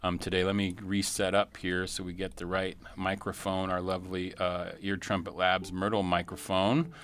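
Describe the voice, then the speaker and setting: A man's voice: low voice, flat delivery, moderate pace, a bit bright, slight background noise. A man hosting a live arts interview on radio, chatty and enthusiastic. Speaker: radio show host.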